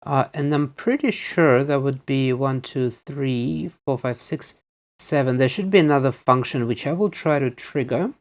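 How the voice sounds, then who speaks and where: A man presenting a casual hands-on electronics demonstration, explaining things in a halting way. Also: medium pitch. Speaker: presenter reviewing electronics on video.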